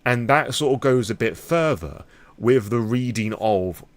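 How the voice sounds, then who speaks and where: A man's voice: medium pitch, animated, a little slow. A British man hosting a vlog, explaining things in a casual, wordy, frank conversational way. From Britain. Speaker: host of a lore channel.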